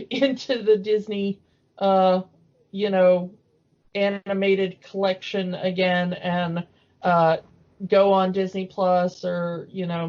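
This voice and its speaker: A woman's voice: medium pitch, a little mellow. A woman co-hosting a podcast in a casual, chatty discussion. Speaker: podcast co-host. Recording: dull lo-fi audio.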